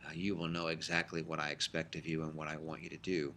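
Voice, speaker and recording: A middle-aged man teaching a high school biology class in a recorded lecture, explaining in a conversational way with frequent fillers. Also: completely flat delivery, fast, sharp tone, a little hiss or hum.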